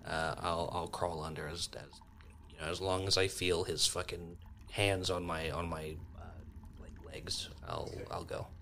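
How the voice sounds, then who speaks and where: A man playing a tabletop role-playing game, chatting casually in a halting way, with noise in the background. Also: low voice, little pitch movement, bright, forceful tone. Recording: constant clear background noise.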